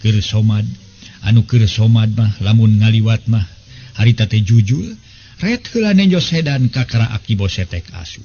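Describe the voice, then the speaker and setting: A man's voice: lowish voice, expressive, moderate pace, warm tone. An elderly man, telling a serial tale in a conversational way. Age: 70s. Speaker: storyteller and show host. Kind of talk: narrated folk serial storytelling. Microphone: close desk microphone. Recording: slightly dull sound with faint noise.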